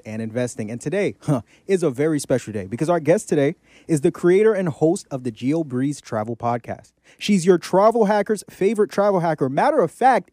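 A man hosting an interview podcast, rattling along at a quick clip with big showman energy and easygoing slang. His voice is warm and mellow, and the sound is dry and close. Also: a little high, no echo. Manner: casual slangy talk. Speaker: podcast host.

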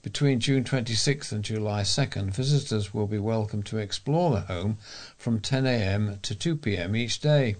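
A man's voice: lowish voice, moderate pace. A man reading local news articles aloud as a volunteer reader, in a formal delivery.

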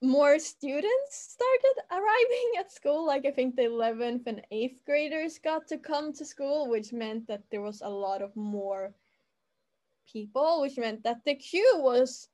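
A woman with a fairly high voice tells a meandering story in a relaxed, casual chat. She strings her sentences together with constant fillers and quick restarts. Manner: rambling, filler-heavy storytelling. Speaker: student recounting school life.

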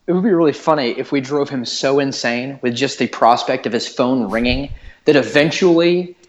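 A man co-hosting a comedy podcast, chatting casually in an excitable, giggly way. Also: mid-range voice, moderate pace, lo-fi sound. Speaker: podcast co-host. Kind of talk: casual chat about prank calls.

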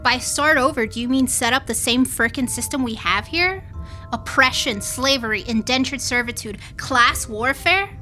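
Sharp, sarcastic, high-voiced defiant woman. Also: normal speed, faint music behind. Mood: angry. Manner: sardonic, combative. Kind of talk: audio drama acting.

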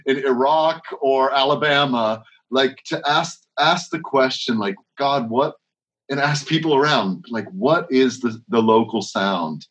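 A man's voice: medium pitch, unhurried, a bit bright, lo-fi sound. A man who leads worship, talking casually in an interview, rambling with frequent restarts and strings of rhetorical questions. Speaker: worship leader.

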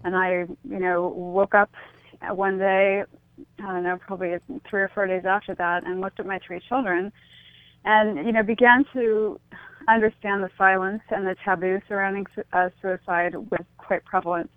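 A woman's voice: mid-range voice, steady tone, normal speed, no echo. Middle-aged woman on a muffled, narrow-band line. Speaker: interview guest, advocate. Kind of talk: personal account in an interview.

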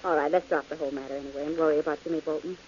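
An actress playing a skeptical, dry-witted companion in an old radio drama. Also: female voice, sits low, steady tone, racing, darkish tone, lightly acted. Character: skeptical wry woman, detective's companion. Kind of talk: 1940s radio acting. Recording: dull old radio broadcast with hiss.

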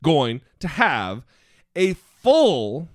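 A man's voice: fairly high pitch, wildly swooping pitch, very slow, no echo, clean studio sound. A man co-hosting a podcast in casual political chat, with a goofy voice and a playful, sarcastic, teasing manner. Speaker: podcast co-host.

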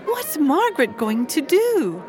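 An actress playing a genteel, naive, soft-hearted lady in an audio drama, speaking in an expressive, proper, earnest manner. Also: high voice, measured pace, some chatter behind, heavily acted. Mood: earnest, worried.

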